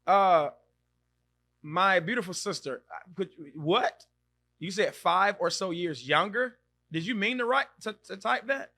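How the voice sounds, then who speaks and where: A man in his thirties hosting a podcast, chatting casually and reacting loudly as he reads out viewer comments. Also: high voice, a little slow. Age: 30s. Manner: animated, exclaims and reacts loudly, repeats himself. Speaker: podcast host. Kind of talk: casual live-stream chat reading comments.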